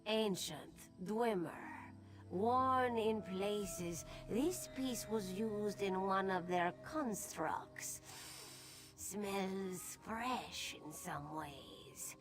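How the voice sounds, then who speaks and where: Music plays behind a slow, deliberate feline woman from a fantasy game. She is humble and inquisitive, weighing things in short, analytical phrases at an unhurried, medium pitch. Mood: studious, musing. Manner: terse, deliberate, analytical, speaking in third person. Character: humble Khajiit cat-woman guild helper, measured and inquisitive. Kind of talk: video game character dialogue.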